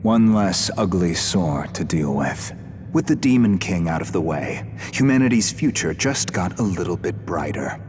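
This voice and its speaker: A man's voice: low voice, sing-song, moderate pace, slight background noise. A man playing a ruthless, zealous human warrior in a video game, contemptuous and commanding, with music behind him. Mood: smug conviction. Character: ruthless, zealous human man who despises demons and weakness. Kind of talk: dramatic video game voice acting. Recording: loud game music underneath.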